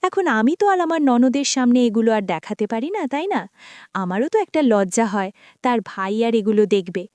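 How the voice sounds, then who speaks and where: Lively Bengali-speaking woman storyteller, dry sound. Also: on the high side, normal speed, no room sound. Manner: confiding, addresses listeners as friends. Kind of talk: first-person story narration.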